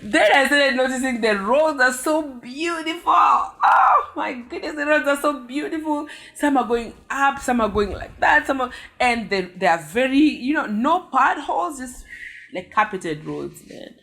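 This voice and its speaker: A Kenyan woman vlogger telling a story to her viewers in a lively, chatty way, with frequent exclamations. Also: sits high, measured pace.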